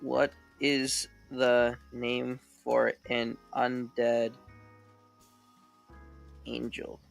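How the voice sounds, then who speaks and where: A man from Mexico, a guest on a chat stream, who talks haltingly and trips over his words. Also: medium pitch, flat delivery, vocal fry, muffled recording, soft background music. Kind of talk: casual chat.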